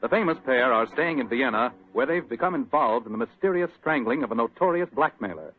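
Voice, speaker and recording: A man with an American accent, a radio announcer pitching and narrating in a folksy, conversational 1940s style. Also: expressive, brisk. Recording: dry, muffled 1940s radio recording.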